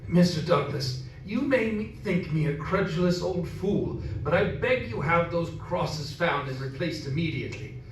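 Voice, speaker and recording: Grave, fearful old man for radio horror, played by a man, high-voiced, roomy and off-mic. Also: lively intonation, moderate pace, lightly acted. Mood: grave, pleading. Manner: grave, pleading, formal. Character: earnest, fearful old man warning of evil. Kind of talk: 1930s-style radio horror acting. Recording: roomy distant recording, faint noise.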